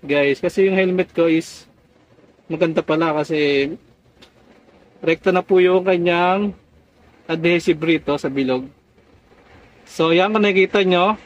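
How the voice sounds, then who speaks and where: High-voiced Filipino man, dull lo-fi audio. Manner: repeatedly addresses viewers as guys. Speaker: tutorial vlogger. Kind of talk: casual hands-on how-to narration.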